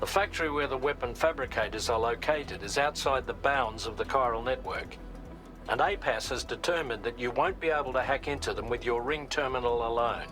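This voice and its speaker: An actor playing a composed operations officer, giving measured, instructive mission briefings in a video game. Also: male voice, medium pitch, over music, lightly acted.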